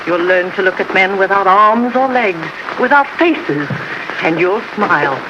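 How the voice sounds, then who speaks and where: An actress playing a stern, commanding head nurse in an old film drama. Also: female voice, mid-range voice, animated, unhurried, very noisy, lightly acted. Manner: commanding, urgent. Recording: dull vintage film soundtrack with music.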